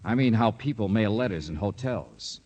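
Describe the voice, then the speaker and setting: An actor playing a cocky, wisecracking private detective in a 1950s radio drama, slangy and sardonic. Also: male voice, on the low side, animated, normal speed, darkish tone. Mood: tough, taunting.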